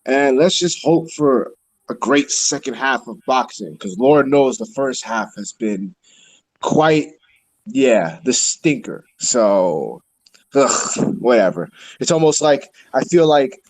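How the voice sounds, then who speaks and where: A man's voice: mid-range voice, animated, slow pace, no room sound, poor audio. Tipsy man ranting with animation. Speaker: podcast co-host. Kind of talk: casual chat.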